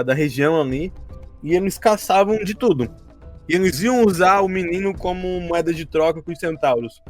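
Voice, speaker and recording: A man who is a game master, chatting casually and a bit defensively about a role-playing campaign. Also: high voice. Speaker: game master recapping a tabletop RPG campaign. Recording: music behind the talk. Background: music.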